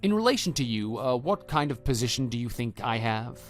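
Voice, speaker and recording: Level-headed husband for audio drama, male actor: measured, skeptical, mid-pitched, faint music bed. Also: sing-song, lightly acted. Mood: skeptical, controlled. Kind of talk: scripted texting-story radio drama.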